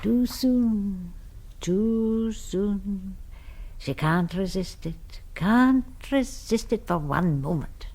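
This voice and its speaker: A woman's voice: mid-range voice, extremely slow, darkish tone. An actress playing a stern Scottish governess in a radio drama, prim and darkly matter-of-fact as she serves her vampire household. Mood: grimly matter-of-fact. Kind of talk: 1970s radio drama acting.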